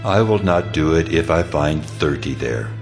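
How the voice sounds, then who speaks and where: A man's voice: rumbling low, lively intonation, moderate pace, soft background music. A man voicing a solemn, authoritative God in a dramatized scripture reading. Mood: solemn, calm. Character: the Lord God, solemn, mighty, merciful male deity.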